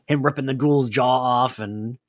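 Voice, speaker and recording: A man hosting a podcast, casually recapping a story in a chatty way full of fillers and quick to laugh. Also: medium pitch, no room sound, clean studio sound. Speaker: podcast host. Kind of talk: casual recap chat about an audio drama.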